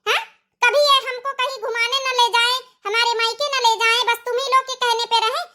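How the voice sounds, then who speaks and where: A woman's voice: very high voice, little pitch movement, brisk, bright, forceful tone. A woman voicing a defiant young daughter-in-law in a cartoon comedy, arguing in an insistent, scolding way. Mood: indignant.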